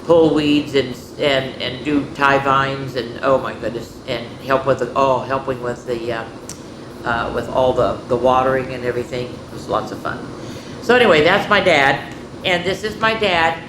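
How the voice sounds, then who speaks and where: Echoey, expressive older woman telling stories. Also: very deep voice, normal speed. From rural United States, farm. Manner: expressive, folksy, addressing children directly. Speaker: children's storyteller and host. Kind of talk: read-aloud storytime with chatty asides. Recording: echoey room with background noise.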